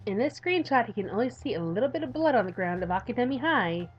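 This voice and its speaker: A woman's voice: on the high side, very animated, fast talker, highs cut off, soft background music. A tired woman reads a visual novel aloud in a let's-play, dropping in informal, playful asides. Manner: informal, playful, self-interrupting.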